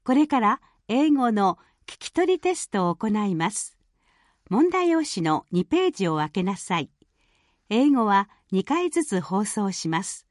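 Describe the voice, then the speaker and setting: A woman's voice: mid-range voice, sing-song, brisk, no echo. Formal female exam announcer, very dry studio sound. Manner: formal, carefully enunciated. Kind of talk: scripted formal test instructions.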